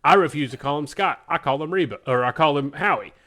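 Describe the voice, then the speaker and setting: A man's voice: fairly high pitch, lively intonation, fast, pushed and bright. A man hosting a podcast in a loose, informal, conversational way, rambling as he goes. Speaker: podcast host. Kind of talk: casual podcast chat.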